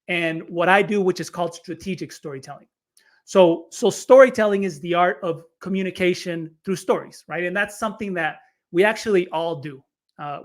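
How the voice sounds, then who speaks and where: High-voiced man explaining with energy. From Chile. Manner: energetic, explanatory, repeats words when starting phrases. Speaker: storytelling coach. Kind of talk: podcast interview.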